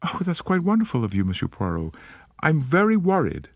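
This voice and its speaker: A man voicing a determined American woman of about thirty with an American accent, earnest and quick. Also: a little high, huge pitch swings, no room sound, voice pushed up, full character voice. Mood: eager.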